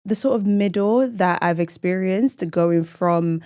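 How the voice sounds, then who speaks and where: Mellow, low-voiced woman podcast guest. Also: unhurried, smooth, no echo, studio quality. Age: early 30s. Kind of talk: casual chat.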